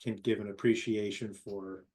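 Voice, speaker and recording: A man player speaking casually in a thoughtful, measured way. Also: fairly low pitch, level tone, darkish tone. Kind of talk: casual chat.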